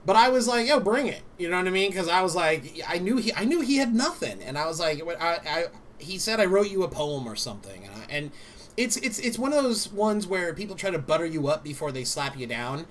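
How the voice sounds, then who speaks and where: A man co-hosting a casual streaming talk show, telling stories with lots of fillers and the odd curse. His delivery is animated and his pitch swings about. A faint hiss of noise sits behind him. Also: fairly quick. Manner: animated storytelling, swearing, many fillers. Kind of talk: casual chat.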